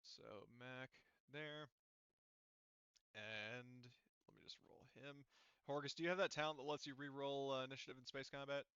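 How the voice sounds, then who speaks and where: Bright, clear-voiced man running a game. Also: medium pitch, fairly even intonation, normal speed, no room sound, highs cut off. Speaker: game master. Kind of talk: casual tabletop game talk, running a session.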